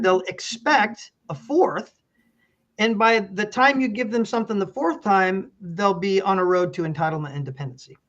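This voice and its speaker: A man's voice: high-pitched, fairly bright tone, muffled recording. A middle-aged man, a pastor and county official, speaking earnestly as an interview guest and telling stories with many restarts. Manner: earnest, storytelling, frequent restarts.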